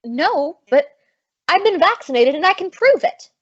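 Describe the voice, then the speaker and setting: A high-pitched, brash young woman played by an actress in an old-time radio comedy. She is plucky and slangy, quick to bristle with indignation. The recording is a little dull and lo-fi. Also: big pitch swings. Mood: indignant.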